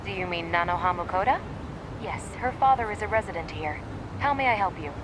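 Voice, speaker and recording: A woman's voice: on the low side, animated, brisk, noisy background, lightly acted. Formal, unyielding receptionist played by a woman. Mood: polite, firm. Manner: formal, courteous, scripted-sounding. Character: polite but unyielding female front-desk receptionist. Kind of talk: English game dub acting.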